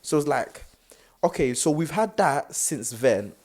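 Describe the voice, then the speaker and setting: A young man, co-hosting a casual podcast chat, thinking aloud in a halting way with stutters and many fillers. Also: on the high side, lively intonation, darkish tone, slightly rough. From South London, England. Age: young adult. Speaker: podcast co-host.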